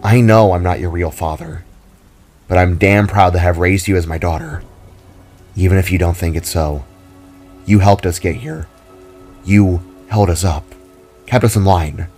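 Man voicing a deep, tender adoptive father. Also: a little slow, pauses often, a little mellow, soft background music. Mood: tender, reassuring. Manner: tender, earnest, encouraging. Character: loving, reassuring adoptive father.

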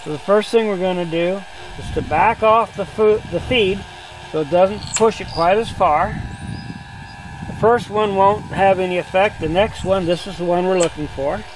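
A man's voice: high-pitched, normal speed, noisy background. Easygoing older man demonstrating outdoors. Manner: plain, easygoing step-by-step explaining. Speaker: sawmill instructor. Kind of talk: hands-on demonstration. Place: outdoors on a grassy lawn.

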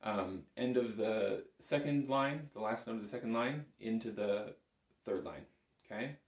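A man's voice: fairly low pitch, a little slow. A man giving a casual, step-by-step music lesson as an instructor, counting beats and checking in as he goes. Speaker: bagpipe teacher. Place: small indoor room full of instruments. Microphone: camera a short distance in front. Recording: roomy echo from camera mic.

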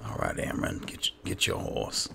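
A man doing roleplay commentary over a video game, halting and musing to himself as he plays. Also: pushed and bright, a little hiss or hum. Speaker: gamer narrating in character as he plays. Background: game music.

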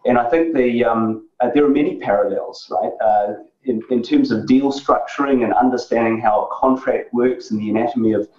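A man in his forties from New Zealand with a New Zealand accent, a guest on a remote interview, talking in a measured way with many fillers and restarts. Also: medium pitch, darkish tone. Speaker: guest, tech executive. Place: dark home office. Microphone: video call. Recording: roomy, distant, dull lo-fi sound.

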